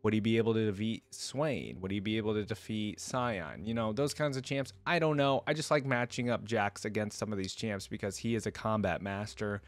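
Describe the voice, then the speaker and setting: A man's voice: fairly low pitch, fairly quick, hardly any pauses, no echo. A man hosting a reaction video, commenting casually and speaking to viewers.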